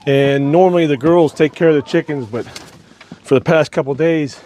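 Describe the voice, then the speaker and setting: Hoarse man vlogging on his farm. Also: fairly high pitch, moderate pace, dark tone, slight background noise. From Ohio. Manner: chatty, laughs between remarks. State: losing his voice. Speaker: homestead vlogger. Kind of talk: casual vlog walkthrough. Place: farm outdoors and basement.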